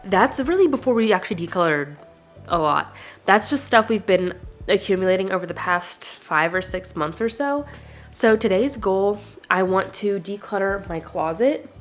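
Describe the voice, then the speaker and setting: A young woman hosts a casual vlog in an informal, chatty way. Her voice sits at an average pitch for a woman and she sounds upbeat. Music plays behind her as she talks. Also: expressive, normal speed. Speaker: vlogger hosting a home declutter channel.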